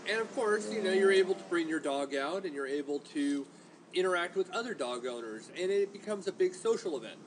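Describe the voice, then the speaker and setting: A man's voice: sits high, animated, a little fast. A man hosting a casual podcast, a self-styled entertainer who addresses his listeners directly. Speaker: podcast host. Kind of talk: casual chat. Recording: noisy home recording.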